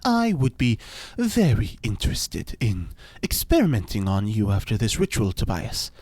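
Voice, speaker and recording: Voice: mid-range voice, huge pitch swings, normal speed, a little mellow, no room sound. An actor playing an eccentric, pompous mad scientist and cult-style ritual leader in a podcast drama, speaking in a grandiose, pedantic way. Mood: matter-of-fact, eager. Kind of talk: audio fiction podcast acting.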